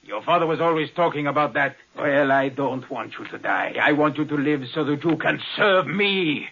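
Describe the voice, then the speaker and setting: A man's voice: on the high side, lively intonation, normal speed, creaky, a bit bright, dull audio, a little hiss or hum. An actor in a 1950s radio drama playing a resentful, power-hungry man who menaces and taunts his adoptive brother. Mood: gloating, menacing. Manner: gloating, taunting, theatrical.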